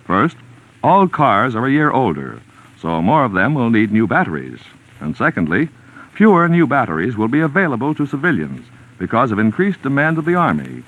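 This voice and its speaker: A man with an American accent, announcing in a polished, persuasive 1940s radio sponsor style. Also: mid-range voice. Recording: muffled vintage 1940s radio recording.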